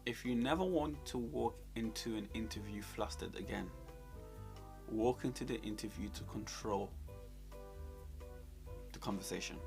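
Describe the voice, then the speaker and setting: A man's voice: lowish voice, a little slow, creaky, long pauses, music in the background. Emphatic man giving career advice. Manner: emphatic, repeats points for emphasis. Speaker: co-host giving job interview advice. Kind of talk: conversational career coaching.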